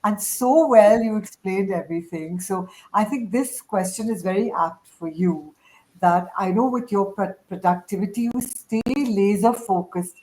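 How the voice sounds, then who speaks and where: A woman speaks as the host of an informal group chat. Her voice sits at a middle pitch, and she talks in a chatty, encouraging manner. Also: unhurried, mellow, low-quality recording. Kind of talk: casual hosted panel chat.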